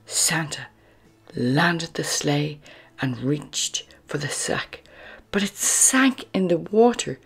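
A woman reading a children's storybook aloud as narrator, expressive, moving between hushed phrases and emphatic words. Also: medium pitch, breathy edge. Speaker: storybook narrator and channel host.

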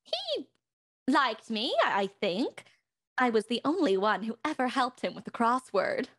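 A woman's voice: a little high, very animated, a little slow, a bit bright, heavily acted. A woman playing a haughty, leisured socialite houseguest in a radio mystery, arch, airy and evasive. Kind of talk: radio mystery acting, live online table read.

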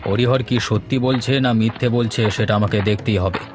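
A man's voice: lowish voice, fairly even intonation, normal speed, music in the background, natural voice. A man voicing a stubborn old village headman in a horror cartoon. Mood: sceptical, stubborn.

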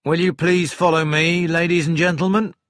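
A man's voice: fairly high pitch, little pitch movement, moderate pace, fairly bright tone, no room sound, studio quality, pitched above the performer's own voice, natural voice. A man voicing a civil, authoritative police inspector in an audiobook, with a businesslike, polite manner. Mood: polite, commanding.

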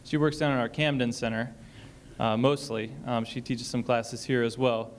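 A man's voice: medium pitch, flat delivery, normal speed, a little hiss or hum. Man explaining on a panel stage. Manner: explanatory, frequent ums, reads a passage aloud. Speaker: college staff panelist. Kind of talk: panel discussion talk. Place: stage in a college auditorium.

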